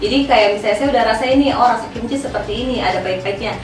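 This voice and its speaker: A woman's voice: on the high side, racing. A young woman from Indonesia, a cook, answering interview questions at length with many fillers. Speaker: guest, Korean food cook. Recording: echoey room, mic at a distance, music behind.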